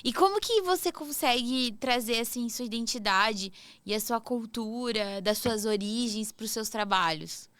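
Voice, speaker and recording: A woman's voice: fairly high pitch, measured pace, hardly any pauses, clear, resonant tone, no room sound, soft background music. A young adult woman from southern Brazil, hosting the interview, asking questions in an agreeable, backchanneling manner. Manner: warm, agreeable, frequent backchannel. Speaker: podcast host.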